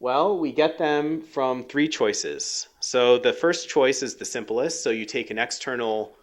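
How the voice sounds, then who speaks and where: Male scientist lecturing, halting delivery, slightly worn voice, mid pitch. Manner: halting, many ums and restarts. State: losing his voice a little. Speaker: scientist giving a research talk. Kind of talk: technical lecture.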